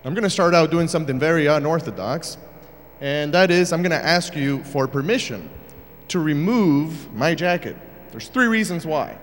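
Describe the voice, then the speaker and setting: Guest preacher, male, from Uruguay, slightly high voice, playful asides that turn emphatic, long church reverb. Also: expressive, moderate pace. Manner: jokey and conversational, then building to emphatic preaching. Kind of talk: sermon. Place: church sanctuary.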